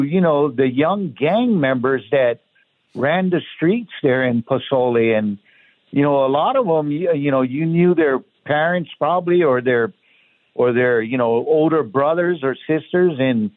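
A man from Hawaii with a Hawaiian pidgin accent, a guest talking casually and relaxed, with lots of "you know". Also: fairly high pitch, a little slow, no echo. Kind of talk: casual interview talk. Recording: tinny phone line.

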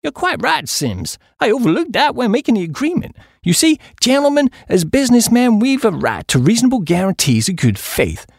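A man's voice: very high-pitched, sing-song, fairly quick, creaky, hardly any pauses, slightly rough, no echo, clean studio sound, pitched above the performer's own voice, heavily acted. A man voicing a hearty American businessman in an audiobook, wordy and formal in a businesslike way. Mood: businesslike, raised.

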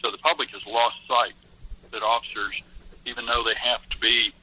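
Earnest man on a bright phone line. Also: medium pitch, level tone, normal speed, slightly rough. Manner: earnest, emphatic, persuasive. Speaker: police association guest. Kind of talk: phone-in radio interview.

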